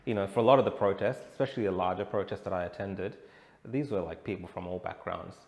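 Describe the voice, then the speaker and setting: Low, creaky-voiced Australian man. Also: fast, darkish tone. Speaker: interview guest, protest reporter. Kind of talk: conversational interview answers. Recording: roomy echo, clear background noise.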